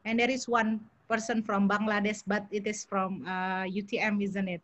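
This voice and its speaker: A woman hosting an online briefing, speaking in a slow, halting way as she works things out aloud. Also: medium pitch, little pitch movement. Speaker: meeting host.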